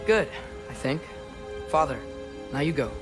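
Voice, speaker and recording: A man's voice: fairly high pitch, expressive, unhurried, music in the background. An actor playing an earnest, inquisitive teenage boy adventurer in a video game, youthful, informal and full of questions. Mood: focused.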